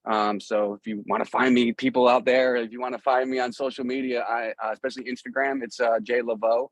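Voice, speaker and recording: A man's voice: mid-range voice, flat delivery, a little fast, dry recording, rough recording. A middle-aged man chatting casually as an interview guest, telling stories in a rambling, easygoing way. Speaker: guest, musician. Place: outdoors.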